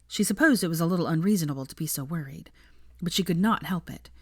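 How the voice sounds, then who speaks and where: A woman's voice: fairly low pitch, quick pace, husky, no echo, clean studio sound. A woman narrating fiction from a script as an audiobook reader.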